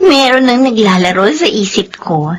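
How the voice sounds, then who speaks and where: A woman's voice: fairly high pitch, sing-song, slow pace, fairly bright tone, slightly rough, heavily acted. An actress playing a sly, spiteful young woman scheming against her sister in a Philippine radio drama. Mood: sly teasing. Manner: sly, teasing, theatrical.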